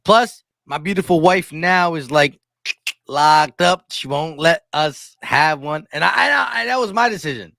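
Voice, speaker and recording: A man's voice: on the high side, expressive, measured pace, creaky, sharp tone, dry recording, clean studio sound. A man in his thirties chatting casually as a sports show host, emphatic and given to repeating himself. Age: 30s. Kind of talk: casual chat.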